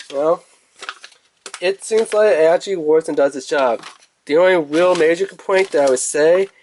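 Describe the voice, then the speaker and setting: Guy doing a casual product review, kinda rambly, voice a bit on the high side. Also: slight background noise. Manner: informal, rambling, thinking aloud.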